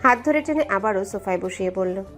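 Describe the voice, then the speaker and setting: A woman's voice: mid-range voice, animated, fairly quick, a bit bright. Woman narrating a dramatic story over music. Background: background music.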